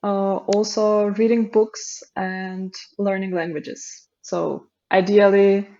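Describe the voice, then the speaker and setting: A woman's voice: medium pitch, slow, muffled recording. A woman from North Macedonia whose first language is Macedonian, a podcast guest chatting casually and laughing often. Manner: laughs often, relaxed and friendly. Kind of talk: casual interview chat.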